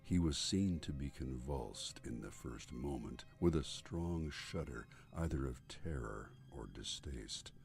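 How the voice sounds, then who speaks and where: A man narrating a gothic horror story in a solemn, theatrical, deliberate way, as a voice-over narrator. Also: rumbling low, animated, gravelly, darkish tone. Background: music.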